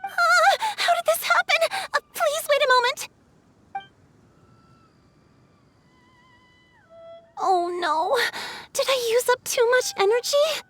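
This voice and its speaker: A woman voicing a gentle, timid, apologetic water spirit in a video game, speaking politely and hesitantly. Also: very high-pitched, animated, a little slow, heavily acted. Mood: panicked. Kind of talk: video game cutscene voice acting. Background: game music.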